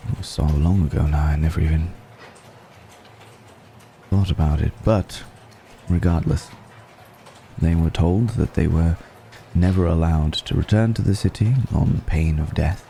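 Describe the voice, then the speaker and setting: A man voicing a suave, menacing vampire noble in an intimate ASMR roleplay, speaking in a genteel, teasing way with drawn-out pauses. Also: very deep voice, fairly even intonation, gravelly, mellow, slight background noise, full character voice. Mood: musing, recounting. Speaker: voice actor.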